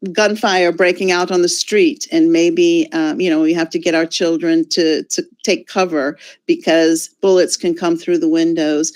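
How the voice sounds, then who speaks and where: A woman from the United States with an American accent, answering a question as a guest speaker at a conference, thoughtful and full of fillers and restarts, heard over a video call. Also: lowish voice.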